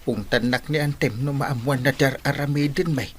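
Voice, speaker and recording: An actor with a Filipino Ilocano accent playing a tipsy, evasive, stammering village captain in a comic radio drama. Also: male voice, medium pitch, normal speed, a bit bright, slight background noise, close to an everyday voice. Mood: sly, pleading. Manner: stammering, starts with hesitant "eh".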